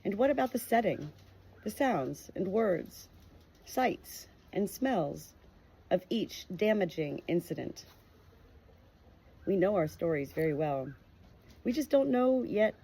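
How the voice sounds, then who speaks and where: A woman with a somewhat low, breathy voice reads a book aloud. Her delivery is earnest and emphatic. Now and then she steps out of the text to share casual thoughts of her own. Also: warm tone, rough recording. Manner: earnest, emphatic reading that breaks into chatty asides. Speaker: audiobook reader. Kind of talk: reading a book aloud with personal asides.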